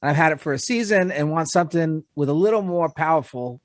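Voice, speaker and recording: A man's voice: a little high, fairly quick, no echo, clean studio sound. A man from New Jersey with a local accent, chatting casually and reading aloud on a podcast. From New Jersey, USA. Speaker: podcast panelist.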